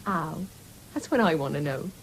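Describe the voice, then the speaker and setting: A woman's voice: deep voice, lively intonation, moderate pace, husky, exaggerated voice. An actress playing a bitter, weary young mother on a farm in a radio play, complaining sharply. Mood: bitter, fed up. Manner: sullen, complaining, sharp-tongued. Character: bitter, slovenly young farmer's wife, weary mother of two. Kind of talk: 1970s BBC radio play acting. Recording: noisy old radio broadcast recording.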